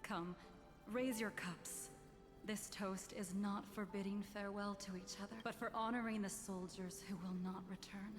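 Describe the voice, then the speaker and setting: A woman's voice: mid-range voice, steady tone, lightly acted. Woman playing composed sword master in echoing hall. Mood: solemn, resolute. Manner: deliberate, formal. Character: composed, resolute female sword master, noble and solemn. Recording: long echo, music behind.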